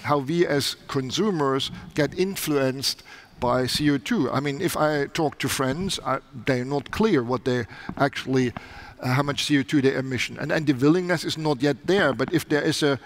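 A man's voice: mid-range voice, normal speed. A middle-aged man speaking on a conference panel with a headset mic on a large stage, earnest and often restarting his sentences. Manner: earnest, with frequent restarts in non-native phrasing.

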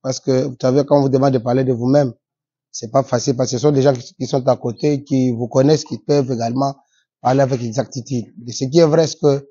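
Husky Congolese French politician, formal. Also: male voice, medium pitch, steady tone, dark tone. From Democratic Republic of the Congo. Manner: formal, measured, with frequent "euh" pauses. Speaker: politician guest. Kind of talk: interview answers. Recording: dull lo-fi sound.

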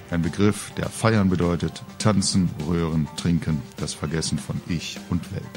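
A middle-aged man reads a humorous first-person story. His voice is low and his telling is wry and colourful. Faint music plays behind him. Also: a little mellow. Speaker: author reading own story. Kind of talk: scripted humorous narration, audio-book reading.

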